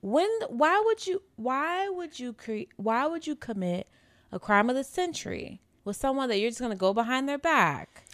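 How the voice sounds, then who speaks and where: A woman's voice: on the high side, animated, moderate pace, no echo. A woman in her late twenties co-hosting a true-crime podcast in casual, candid, slangy talk with some swearing. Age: late 20s. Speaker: podcast co-host.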